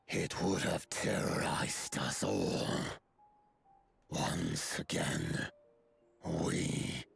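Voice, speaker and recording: An extremely low, heavily creaking voice of a solemn giant elder, delivered very slowly in stately, archaic phrasing. It is fantasy game dialogue with music underneath. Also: male voice, fairly bright tone, heavily acted. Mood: solemn, grateful. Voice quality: deep, gravelly, breathy at phrase ends. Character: solemn giant elder of an ancient mountain people.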